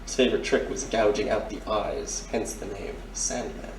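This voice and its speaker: A man's voice: on the low side, steady tone, moderate pace, close to an everyday voice. A man playing a courteous, shrewd detective major in an audio drama, speaking formally and with polite insinuation. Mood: measured, grave. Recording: roomy, distant mic, faint noise.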